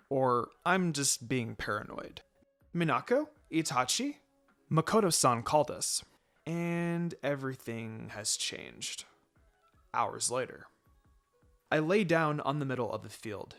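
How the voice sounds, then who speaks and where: A man narrating a story in the first person in an expressive, dramatised way. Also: mid-range voice. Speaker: fan fiction reader. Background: background music.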